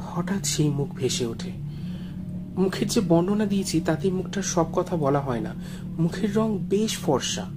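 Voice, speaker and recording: A man narrates a first-person story in a measured, suspenseful and expressive way. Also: high voice. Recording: music behind the voice. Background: music.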